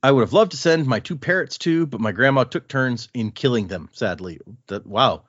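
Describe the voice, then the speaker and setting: Lively male podcast host reading mail. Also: mid-range voice, normal speed, slightly rough, no echo. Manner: reads with lively asides and comments between lines. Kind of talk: reading a listener email aloud on a podcast. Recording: dry, slightly dull lo-fi sound.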